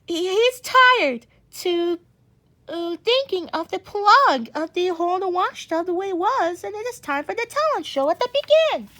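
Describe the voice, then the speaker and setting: A woman reading a storybook aloud in a halting, stumbling way, often muddling her words. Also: very high voice, very animated, pushed and bright.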